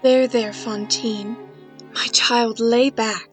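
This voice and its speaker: A woman playing a gentle, soothing nun who nurses the sick in a period audio drama, with a gently firm manner. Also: a little high, steady tone, slow, faint music behind. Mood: soothing, concerned. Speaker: actor. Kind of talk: radio-style period drama acting.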